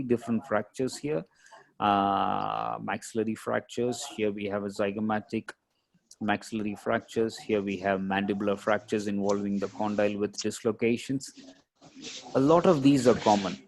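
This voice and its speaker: A man lecturing as a radiologist, explaining case images step by step in a halting, explanatory way. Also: on the low side, a little mellow.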